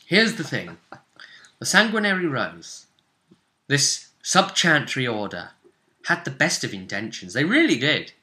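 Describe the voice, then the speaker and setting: A man running a tabletop roleplaying game as game master, recapping the story casually in a halting, emphatic way, repeating phrases as he goes. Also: medium pitch, huge pitch swings, bright, forceful tone.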